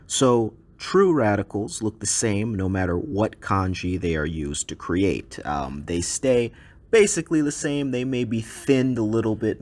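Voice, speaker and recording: A man whose first language is English, teaching a recorded slide lesson in a relaxed way with frequent ums and uhs. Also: lowish voice.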